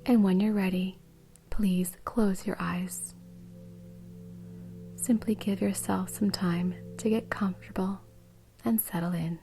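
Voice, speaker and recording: A woman guiding a meditation in a soft, breathy, soothing way. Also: mid-range voice, steady tone, takes it slowly, pauses often, soft background music. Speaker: meditation guide. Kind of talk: guided meditation.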